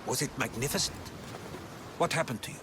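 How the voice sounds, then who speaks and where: An actor playing an eager, earnest older historian in a video game. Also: male voice, mid-range voice, expressive, a little fast, noisy background, lightly acted. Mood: curious, concerned. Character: inquisitive, earnest older male Greek historian and companion.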